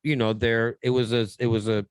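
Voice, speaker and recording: A man hosting a casual podcast, telling stories in a slangy, animated way. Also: medium pitch, fairly quick, clean tone, no room sound. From born in New York, raised in Pittsburgh. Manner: animated storytelling, slangy, acts out quotes loudly. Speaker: podcast host, radio and TV personality.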